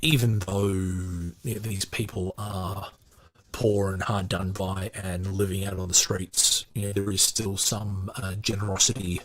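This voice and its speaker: Low-voiced man reading and commenting. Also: little pitch movement, measured pace. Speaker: podcast host reading a book aloud with commentary. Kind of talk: audiobook narration and casual commentary.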